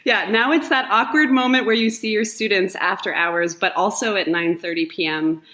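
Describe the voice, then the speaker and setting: A woman who teaches drama, chatting as a podcast guest over a video call. Her voice sits at a middling pitch. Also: normal speed, pushed and bright. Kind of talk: casual chat.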